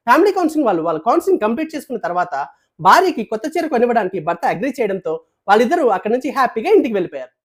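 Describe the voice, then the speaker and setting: Animated, fast-talking woman newsreader. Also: on the high side. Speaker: news presenter. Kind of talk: scripted news narration.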